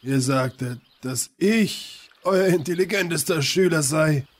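A man's voice: a little high, a little slow. A man playing a meek young wizard's apprentice, hesitant and submissive, in a fantasy parody audio drama. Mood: crushed.

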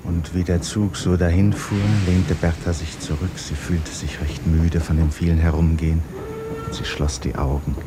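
An actor playing the literary narrator of a 1950s radio drama, telling the story in a measured manner. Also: male voice, sits low, level tone, natural voice. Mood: wistful narration. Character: literary narrator of a woman's dreamy inner story. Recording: noisy 1950s radio recording.

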